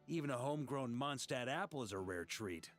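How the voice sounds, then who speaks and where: An actor playing a jovial, easygoing grand master of knights in a video game, with a folksy, informal manner. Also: male voice, medium pitch, animated, brisk, faint music behind, lightly acted. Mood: pleased, casual. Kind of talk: English video game voice acting.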